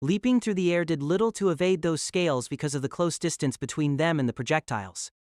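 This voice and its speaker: A man's voice: fairly high pitch, fast, a little mellow, no echo, studio quality. A man narrating a fantasy novel as a dramatic, scripted audio drama.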